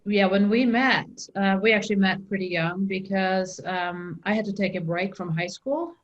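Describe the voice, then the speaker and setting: A woman's voice: mid-range voice, normal speed, lo-fi sound. A middle-aged woman from Norway with a Norwegian accent, telling her story as an interview guest, with frequent ums and restarts. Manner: hesitant, many ums and restarts.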